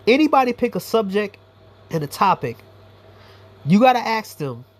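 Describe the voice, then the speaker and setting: A man's voice: high-pitched, sing-song, normal speed. A man giving a blunt, profane, rambling commentary monologue as a YouTuber.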